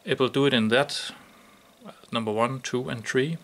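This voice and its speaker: Gravelly man demonstrating a product. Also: mid-range voice, moderate pace.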